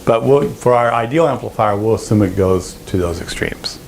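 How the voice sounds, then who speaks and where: A man presenting an informal technical lesson, explaining step by step in a halting, explanatory way. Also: fairly low pitch, slight background noise. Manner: explanatory, halting, with frequent throat clearing. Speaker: presenter of an audio engineering lesson.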